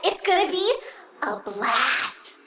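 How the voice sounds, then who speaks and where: A very high, bright, girlish voice for a video game villain, a young plant girl. She is cutesy and pouty, with a singsong sweetness that carries menace and vengeful glee. Her delivery is unhurried and swings widely in pitch. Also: very animated, far from the mic, exaggerated voice. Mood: gleeful. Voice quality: girlish, childlike. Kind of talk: video game character acting.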